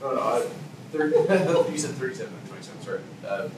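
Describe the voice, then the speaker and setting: A man's voice: mid-range voice, lively intonation, fast talker, a loud crowd. A man in his thirties, a workshop participant, talking about himself with giggly, flustered stumbles. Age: 30s. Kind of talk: casual personal sharing. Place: conference workshop room. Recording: very echoey room, distant mic, loud noise.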